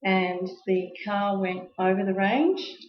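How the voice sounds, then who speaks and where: A woman's voice: mid-range voice, slow. A woman interviewing an elderly man for a family book, chatty and encouraging, with many "um" fillers. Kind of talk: oral history interview. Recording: echoey room, dull lo-fi tape.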